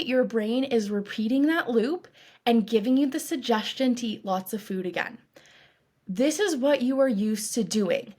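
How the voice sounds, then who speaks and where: A woman coach leading a guided meditation in a calm, reassuring, instructive way, with deliberate phrasing. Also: on the high side. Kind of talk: guided meditation, scripted narration.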